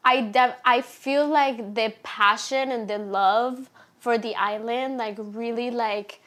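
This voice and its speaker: A young woman who grew up in Colombia, hosting a podcast in a relaxed, chatty way with lots of "like". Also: a little high, slow pace. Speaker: podcast host. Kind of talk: casual podcast interview.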